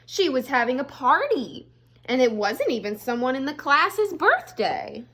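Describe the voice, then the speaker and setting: A woman, an elementary school teacher, reading a storybook aloud to young children with expressive delivery and casual, chatty asides. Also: high-pitched, a little slow.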